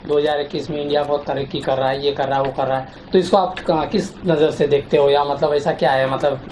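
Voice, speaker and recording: A man's voice: on the high side, flat delivery, fast talker, darkish tone, a little hiss or hum, poor audio. A man hosting an interview, asking questions and humming agreement often. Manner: frequent short backchannel hums. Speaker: interview host.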